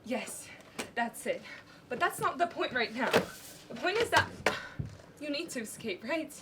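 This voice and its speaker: A teenage female student acting a brisk, defensive nurse who plots an escape in an amateur stage play. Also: sits high, lively intonation. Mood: urgent, resolute. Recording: noisy live stage recording with clicks.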